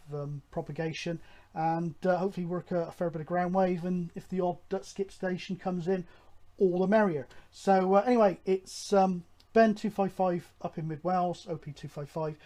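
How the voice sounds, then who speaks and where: A man presenting a hobby show, talking casually and in a halting, rambling, informal way to viewers. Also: a little high, mellow. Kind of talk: casual update and announcements to viewers.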